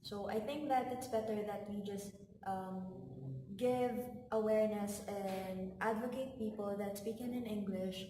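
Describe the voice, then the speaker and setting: A woman with a Filipino accent, whose native language is Tagalog, answers interview questions in a thoughtful, measured way. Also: mid-range voice, fairly even intonation, dark tone. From Philippines. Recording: distant mic, echoey room, noise.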